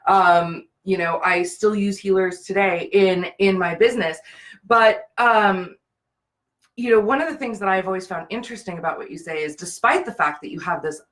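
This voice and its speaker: A woman's voice: mid-range voice, moderate pace, fairly bright tone. A woman speaking as the host of a live business interview show. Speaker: business show host, brand and profit strategist.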